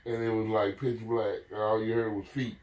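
A man's voice: lowish voice, level tone, moderate pace, a little mellow. A man in his fifties telling a story casually and animatedly, swearing freely, repeating himself and acting out his reactions. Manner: profane, repetitive, acts out reactions. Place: living room couch, indoors. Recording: roomy, distant from the mic.

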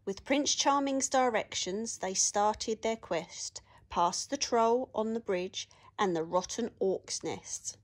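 A woman reading a children's picture book aloud with expressive, sing-song rhyming delivery. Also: medium pitch, takes it slowly, hardly any pauses.

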